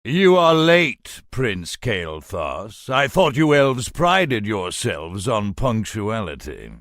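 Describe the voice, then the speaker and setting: A haughty human commander in a fantasy game. His contemptuous orders are drawn out slowly, with theatrical swoops in pitch and a dry studio sound. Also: big pitch swings, a bit bright, no echo, full character voice. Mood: scornful. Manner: pompous, contemptuous, barking orders. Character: arrogant, scornful human military commander, male. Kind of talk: dramatic fantasy game acting.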